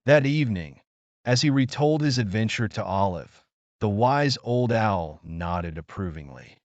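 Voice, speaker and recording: A male storyteller reads a children's tale in a measured, expressive way. His voice sits a little low but rises and falls widely, and the recording is dry with no room sound. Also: no room sound, lo-fi sound. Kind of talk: scripted children's story narration.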